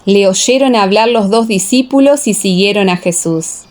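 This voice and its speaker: Casting: female scripture narrator for an audio drama, middle pitch, measured and reverent in phrasing. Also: darkish tone.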